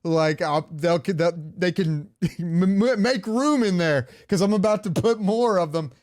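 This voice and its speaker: A man's voice: sits high, unhurried, a little mellow, no echo, studio quality. A man co-hosting a casual gaming podcast, opinionated and rambling, swearing freely. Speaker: podcast co-host.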